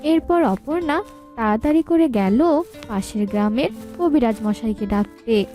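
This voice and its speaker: A woman's voice: a little high, animated, unhurried, warm tone. A woman reading scripted narration for a children's story. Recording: music under the voice. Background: music.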